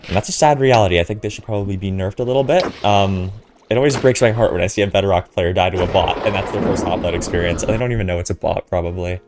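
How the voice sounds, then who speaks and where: A man's voice: sits low, quick pace, few pauses, background noise. A young man with a stuffy nose from a cold, giving casual, chatty voiceover commentary over gameplay and filling gaps with ums. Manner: casual, filler-heavy, chatty. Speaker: gaming commentator.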